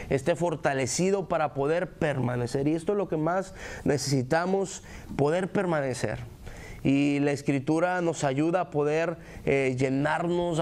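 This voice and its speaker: A man's voice: on the high side, a little mellow. A man hosting a ministry talk show, speaking earnestly in a conversational preaching style with occasional hesitations. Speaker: ministry host.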